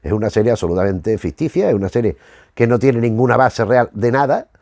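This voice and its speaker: A man from hosts a casual commentary in a very dry recording. His voice sits at an average pitch. He digresses and circles back, rewording phrases as he searches for the right one. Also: a little fast, darkish tone, dry recording. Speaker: YouTube host reviewing retro cartoons.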